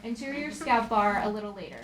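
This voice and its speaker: A woman's voice: medium pitch, fairly quick, a crowd in the background. A woman reading stage directions as scripted narration at a live comedy table read. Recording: echoey, noisy, distant live stage pickup.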